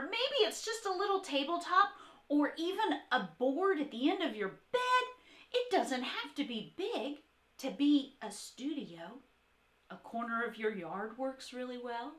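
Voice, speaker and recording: Female children's art teacher for read-aloud: high-pitched, sing-song variety, encouraging, speaks straight to kids. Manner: encouraging, expressive, addressing children directly.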